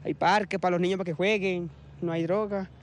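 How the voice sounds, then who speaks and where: High-voiced young man, shy. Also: steady tone, fairly quick. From Venezuela. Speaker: interviewee. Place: outdoor camp.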